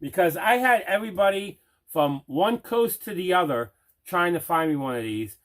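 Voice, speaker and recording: A man's voice: high voice, lively intonation, moderate pace, a bit bright. A man hosting a casual unboxing video, chatting enthusiastically and leaning on repeated words for emphasis. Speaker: host of a collecting unboxing video. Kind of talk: casual unboxing chat to camera.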